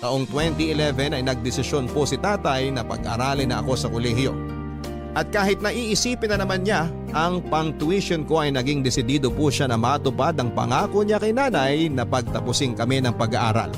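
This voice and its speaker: A man's voice: medium pitch, animated, moderate pace. A man narrating a scripted letter as a radio host, in a classic storytelling style. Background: background music.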